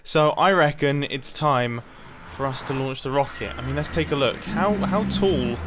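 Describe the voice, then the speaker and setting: A young man giving casual, excitable commentary over a video game, laughing often and joking about himself. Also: mid-range voice, normal speed, very noisy. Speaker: gaming YouTuber.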